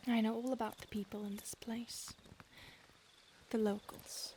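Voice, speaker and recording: A woman voicing a centuries-old female vampire stalker in an audio roleplay, speaking softly and often in a whisper, calm and menacing. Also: on the high side, measured pace, close to an everyday voice. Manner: soft, intimate, often whispered. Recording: noisy background hiss.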